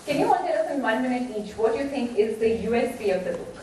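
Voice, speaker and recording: A woman's voice: a little high, expressive, fast talker, darkish tone, a little hiss or hum. Woman moderator, formal announcing delivery, distant echoey mic. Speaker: event moderator. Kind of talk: moderating a book launch. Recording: echoey hall, far from mic.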